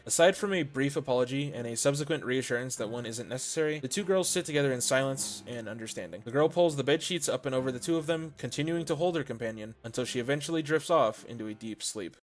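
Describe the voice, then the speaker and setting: A man narrating a scripted story recap as a video essayist, articulate, with dramatic storytelling phrasing. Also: medium pitch. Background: soft music.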